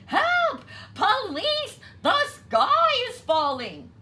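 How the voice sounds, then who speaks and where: Storybook hen, acted by a woman: small, panicky, very high and piercing, wailing in alarm. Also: wildly swooping pitch, very slow, bright, forceful tone, full character voice. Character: frantic, alarmed little female chick and hen.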